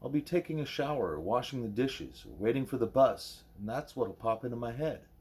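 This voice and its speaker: A man reading as a wary, husky-voiced schoolgirl. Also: medium pitch, expressive, a little fast, a little mellow. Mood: troubled, blunt. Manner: plain-spoken, colloquial, guarded. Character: wary, troubled, blunt schoolgirl narrating her story.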